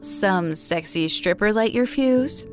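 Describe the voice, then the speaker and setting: A woman's voice: medium pitch, expressive, takes it slowly, music in the background. An actress voicing a wife who answers her husband in a dry, sardonic way. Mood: wry.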